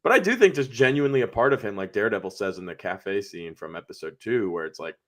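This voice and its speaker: A man around thirty co-hosting a casual watch-party chat, playful and quick to laugh, with dark jokes dropped in. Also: on the low side, animated. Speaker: co-host.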